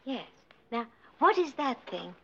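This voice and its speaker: A high, lilting Hungarian-accented wife whose voice swoops all over as she sweetly mangles words. She is naive and glamorous. The sound is a dull lo-fi 1960s TV soundtrack. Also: sing-song, a little slow, creaky, pushed and bright, a little hiss or hum, full character voice. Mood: playful. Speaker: sitcom wife. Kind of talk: 1960s sitcom acting.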